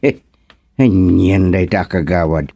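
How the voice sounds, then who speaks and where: A man playing a stern, authoritative village chairman with a deliberate, commanding manner in an Ilocano radio comedy drama. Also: low-pitched, creaky, warm tone, no echo, heavily acted. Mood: serious, firm.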